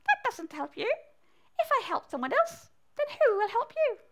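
A woman's voice: very animated, moderate pace, fairly bright tone, exaggerated voice. A woman voicing a small, eager, curious frog in a rhyming children's story. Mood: grateful, curious. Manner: squeaky, exclaiming.

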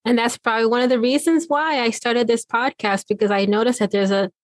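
A woman hosting a podcast, speaking in an earnest, encouraging way in drawn-out phrases. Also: fairly high pitch, steady tone, no room sound. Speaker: podcast host. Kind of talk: casual interview chat.

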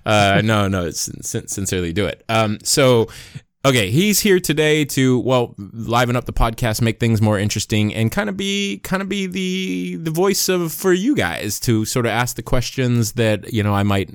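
A man hosts a podcast in an upbeat, chatty way, with plenty of fillers. His voice sits mid-range in pitch. The sound is dry and close, with little room around it. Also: expressive, moderate pace, smooth, dry recording. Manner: upbeat, conversational with frequent fillers. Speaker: podcast host. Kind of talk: music marketing podcast.

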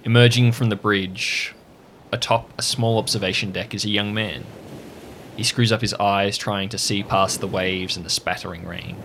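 A man narrating a tabletop role-playing story as game master, measured and atmospheric, with music underneath. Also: lowish voice, fairly even intonation, a bit bright, slight background noise.

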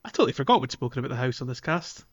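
A man's voice: medium pitch, lively intonation, very fast. A man co-hosting a game podcast, easygoing and agreeable, chuckling as he talks. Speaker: podcast co-host. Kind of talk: casual chat about a video game.

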